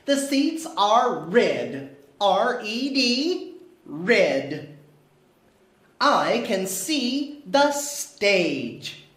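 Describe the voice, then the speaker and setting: A woman teacher leading a story time for young children, asking simple questions in a sing-song, carefully enunciated way. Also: a little high. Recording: roomy echo.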